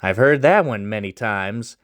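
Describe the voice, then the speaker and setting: A man's voice: fairly low pitch, animated, unhurried, fairly bright tone. A man doing a bitter, short-tempered young man. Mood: incredulous. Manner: exasperated, snappy.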